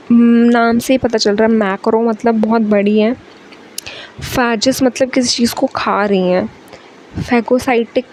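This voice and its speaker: A young woman with a sore throat, teaching a chatty exam-prep biology lecture, switching between Hindi and English and slowing down to read English lines. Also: a little high, a little mellow, slight background noise, poor audio.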